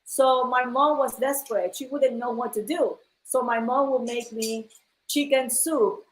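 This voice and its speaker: A woman from Ecuador tells a personal story on a live stream, earnest and direct with her viewers. Her voice sits a little high. The room around her rings with echo. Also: fairly even intonation, unhurried. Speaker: host. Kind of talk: casual live-stream chat. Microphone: phone live stream.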